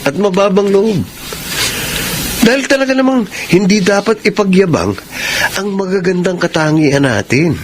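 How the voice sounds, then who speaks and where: An earnest big brother, highish male voice, filipino radio drama, noisy background. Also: expressive, moderate pace. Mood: earnest advising. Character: sensible, humble older brother giving calm advice.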